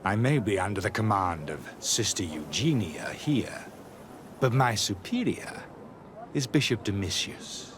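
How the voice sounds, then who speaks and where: An actor playing a zealous, righteous missionary monk in a video game, with a fervent, preachy delivery. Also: male voice, fairly low pitch, expressive, a little slow, background noise. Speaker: missionary brother.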